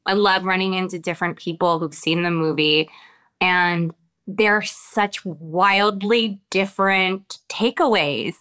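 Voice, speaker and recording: Thoughtful actress, smooth clear voice. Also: female voice, lowish voice, fairly even intonation, pushed and bright. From America. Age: adult with children. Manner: thoughtful, halting, many restarts and fillers. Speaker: actress being interviewed. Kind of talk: reflective interview answers.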